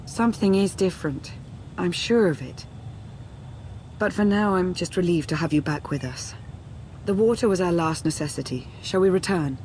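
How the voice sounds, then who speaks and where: A woman playing a solemn, perceptive adviser in a video game, speaking in a hushed, deliberate way. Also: lowish voice, slight background noise, lightly acted, poor audio. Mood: relieved. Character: solemn, perceptive female adviser, quietly intense.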